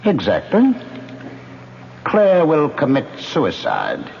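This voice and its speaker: A man's voice: fairly high pitch, wildly swooping pitch, takes it slowly, gravelly, darkish tone, heavily acted. An actor playing a smug, scheming man who coolly goads an accomplice, in old radio mystery style. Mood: coolly persuasive. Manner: smug, mocking, suavely persuasive. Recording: muffled, noisy old radio drama recording.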